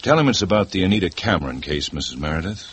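A calm, firm detective. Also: male voice, low voice, fast talker, slightly rough, heavily acted. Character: calm, persistent police detective. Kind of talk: 1950s radio drama acting.

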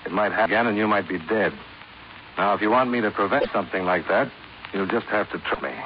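A man's voice: fairly low pitch, fast talker, muffled recording, natural voice. An American man acting a cool, wry insurance investigator in a 1950s radio drama, with dry, clipped hardboiled delivery. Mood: cool, probing. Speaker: lead actor, narrator of radio detective serial. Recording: noisy, band-limited 1950s radio recording.

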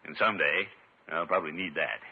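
An actor playing a pompous, excitable middle-aged water commissioner in a blustering 1950s radio comedy style. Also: male voice, extremely low pitch, fairly quick, breathy edge, exaggerated voice. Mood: genial, confiding. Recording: muffled, narrow-band 1950s radio recording.

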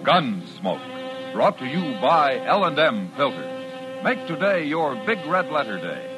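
A man's voice: fairly high pitch, sing-song, slow pace, poor audio. An American man announcing a 1950s radio western in a dramatic, declamatory scripted style. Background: music.

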